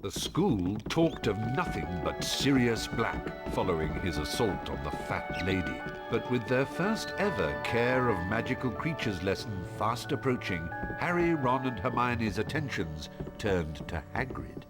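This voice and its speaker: An actor giving scripted, dramatic narration as the storyteller of a video game. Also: male voice, fairly low pitch, big pitch swings, unhurried, few pauses. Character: measured, dramatic storyteller man. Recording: music under the voice.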